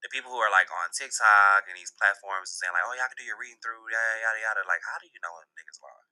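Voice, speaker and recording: A man's voice: fairly low pitch, rapid-fire, bright, forceful tone, clean tone, poor audio. A Jamaican-American man interviewing a guest, rambling casually with lots of fillers. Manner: rambling, heavy filler words.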